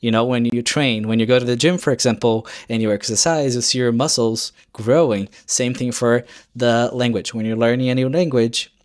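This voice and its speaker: An earnest young man talks straight to his viewers in a casual vlog, speaking English, which is not his first language, at an average pitch. He sounds encouraging and often hunts for a word and starts a phrase over before going on. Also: steady tone, moderate pace, few pauses. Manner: earnest and encouraging, searches for words and restarts. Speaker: YouTuber. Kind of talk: casual advice vlog to camera.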